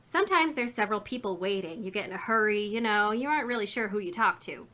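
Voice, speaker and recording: A woman's voice: medium pitch, lively intonation, quick pace, sharp tone. An actress playing a chatty, indignant, folksy woman shopkeeper in a 1950s radio drama. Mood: reminiscing. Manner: folksy, garrulous, emphatic.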